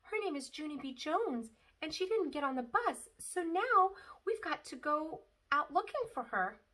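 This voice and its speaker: A woman's voice: high voice, big pitch swings, a little slow, bright, forceful tone, voice pushed up, full character voice. A woman reading a storybook, voicing a worried female kindergarten teacher who speaks urgently.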